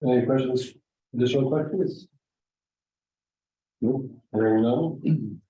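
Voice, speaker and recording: A man's voice: mid-range voice, dark tone. Man chairing a meeting, roomy lo-fi sound. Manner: brisk procedural. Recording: roomy, lo-fi, some noise.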